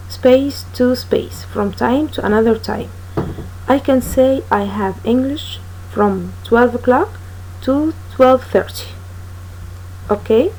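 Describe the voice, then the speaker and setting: A woman teaching an English lesson to young children, speaking slowly and repeating each word for pupils to copy. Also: a little high, darkish tone, breathy edge, rough recording. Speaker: primary school English teacher. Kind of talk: recorded classroom lesson for children.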